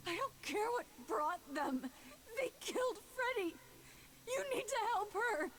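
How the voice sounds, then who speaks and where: A woman's voice: very high-pitched, lively intonation, measured pace, fairly bright tone, a little hiss or hum, exaggerated voice. An actress playing a distraught, grieving young woman in a dramatic video game, with an emotional, pleading manner. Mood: anguished.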